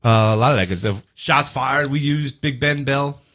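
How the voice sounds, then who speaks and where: Guy cohosting a podcast, bright punchy voice, jokey and slangy, just riffing. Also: medium pitch, moderate pace. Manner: jokey, slangy, breaks into snatches of song. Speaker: podcast co-host who is also in a band. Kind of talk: casual podcast banter.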